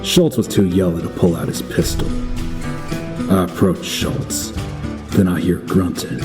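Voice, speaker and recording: Male actor as grizzled cowboy bounty hunter, low voice, laconic, wry, audio drama narration, music underneath. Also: steady tone, unhurried. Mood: grim, tense. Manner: laconic, wry, tough-talking.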